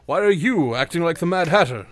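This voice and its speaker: Man voicing a sly detective, dry and teasing, lively higher voice. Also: fairly quick, close to an everyday voice. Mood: wry. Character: sly, confident male detective, dryly teasing.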